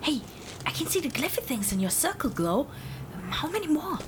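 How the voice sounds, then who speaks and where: A woman's voice: high-pitched, very animated, brisk. A woman playing a timid, anxious young companion, apologetic and full of questions, in a fantasy audio drama. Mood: sheepish. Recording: loud background noise and ambience.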